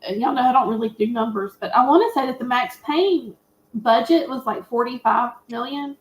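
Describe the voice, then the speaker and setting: A woman's voice: on the high side, fairly quick. A woman co-hosting a casual movie discussion, speaking informally with folksy phrasing. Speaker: movie podcast co-host. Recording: echoey room sound.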